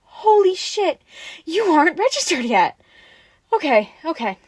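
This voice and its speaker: A woman's voice: extremely high pitch, a little slow, no room sound, heavily acted. Girl acting as a playful bossy vampire lady for an audio roleplay, teasing but caring, super dry close sound. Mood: flustered, explaining. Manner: playful, bossy, expressive, informal. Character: teasing, sassy, caring female vampire taking in a newly turned one. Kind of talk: audio roleplay addressed to the listener.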